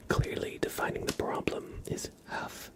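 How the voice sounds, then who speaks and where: A man hosting an ASMR livestream, speaking in a soft, breathy whisper with a gentle, informal way of chatting to viewers. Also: measured pace. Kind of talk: whispered ASMR with casual chat to live viewers.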